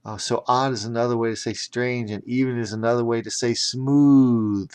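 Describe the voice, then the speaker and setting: A man's voice: mid-range voice, fairly even intonation, moderate pace, darkish tone, clear, resonant tone. A man narrating a children's audiobook theatrically, breaking off for chatty explanatory asides.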